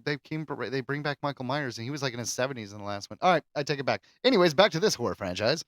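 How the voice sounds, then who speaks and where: A man co-hosting a podcast, chatting casually and rambling through animated asides. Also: medium pitch, rapid-fire, no echo, clean studio sound. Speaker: podcast co-host. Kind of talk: casual chat about horror films.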